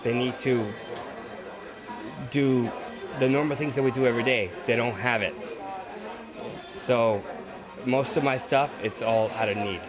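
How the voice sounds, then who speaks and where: A painter and photographer from Cuba giving short, blunt, earnest answers in an interview at an outdoor art event. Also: male voice, mid-range voice, steady tone, unhurried, long pauses. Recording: crowd chatter and music behind.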